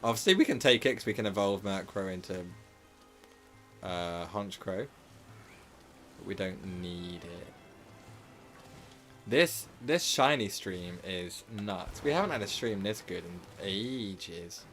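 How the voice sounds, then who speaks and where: A man with a slightly sore, hoarse throat, casually commenting on his live gameplay stream and rambling with lots of filler words and self-corrections. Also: sits low, lively intonation, slow, pauses often, fairly bright tone, soft background music.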